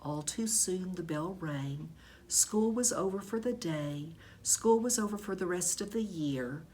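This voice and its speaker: A woman reading a children's book aloud in expressive storybook narration, like a teacher. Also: fairly low pitch, unhurried, a little hiss or hum.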